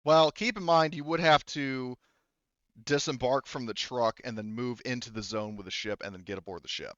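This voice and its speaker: A man speaking as game master of a casual tabletop roleplaying game, in a halting, informal, sweary way. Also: medium pitch, fairly bright tone, no echo, muffled recording.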